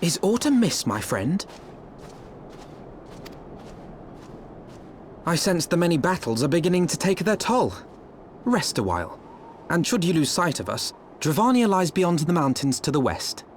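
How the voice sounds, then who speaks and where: Fantasy game scholar: youthful, courteous, eloquent, lightly high-pitched, lively intonation. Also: male voice, moderate pace, slight background noise. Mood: concerned. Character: earnest, courteous young male scholar. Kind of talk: video game voice acting.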